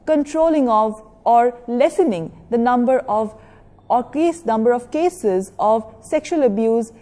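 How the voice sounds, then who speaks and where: Woman lecturer, mellow voice, explains stuff in a measured way, long echoey reverb on it. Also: on the high side. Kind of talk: educational lecture. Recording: long reverberant echo of a hall.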